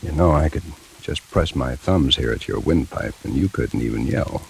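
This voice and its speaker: A man's voice: extremely low pitch, lively intonation, normal speed, creaky, husky, heavily acted, rough recording. An actor playing a wry, hard-boiled man in a 1940s radio thriller, dry and terse. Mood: wary. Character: wry hard-boiled man narrating his noir ordeal. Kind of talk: 1940s radio drama narration and acting.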